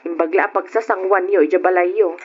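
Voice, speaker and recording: A woman playing a kindly, brisk older auntie in an old radio drama, with an Ilocano accent. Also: lowish voice, fairly even intonation, darkish tone, slightly rough. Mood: kindly, insistent. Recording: dull noisy old radio recording.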